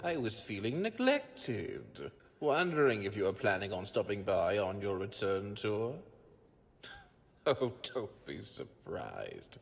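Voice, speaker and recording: Gloating, creaky-voiced mad scientist. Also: male voice, on the low side, huge pitch swings, heavily acted. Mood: mocking. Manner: theatrical, taunting, drawn-out and sneering. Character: gloating mad scientist, obsessed with transformation, taunting and theatrical. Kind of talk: gothic audio drama acting. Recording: long hall-like reverb.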